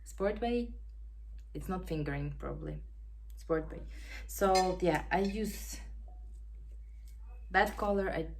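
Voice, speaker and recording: A woman with a Ukrainian accent hosting a knitting podcast from home, chatting casually and pausing to search for words in English. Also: lowish voice, slight background noise. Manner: unhurried, searching for words, non-native grammar. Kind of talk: casual show-and-tell chat. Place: home in Denmark. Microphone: camera.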